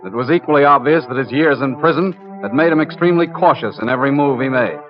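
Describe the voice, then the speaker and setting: Man playing a measured radio crime investigator. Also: mid-range voice, natural voice. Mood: matter-of-fact. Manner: measured, matter-of-fact. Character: sober, methodical male investigator narrating a surveillance case. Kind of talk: old-time radio crime drama narration. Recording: dull old radio recording, music behind.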